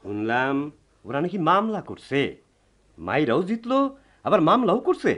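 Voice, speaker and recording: Young village man: protective, earnest, hugely varied pitch, rural Bangladeshi accent, radio drama. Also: huge pitch swings, normal speed, a bit bright, heavily acted. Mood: cautious, resolved.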